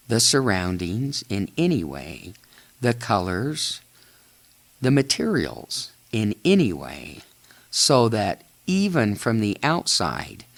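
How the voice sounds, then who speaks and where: Male meditation narrator, extremely slow delivery, widely varying intonation, measured short phrases, long pauses. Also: fairly low pitch, gravelly. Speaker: guided imagery narrator.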